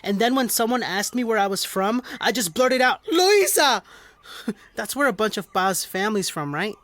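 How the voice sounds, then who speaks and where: An actress playing an earnest, excitable time-travelling boy in a scripted audio drama. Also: fairly high pitch, moderate pace, no room sound, lightly acted. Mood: earnest, chatty.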